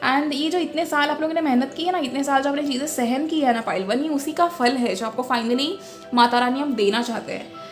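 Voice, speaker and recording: A woman giving a casual tarot reading, switching between Hindi and English and often pausing on fillers. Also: high voice, soft background music. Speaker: tarot reader.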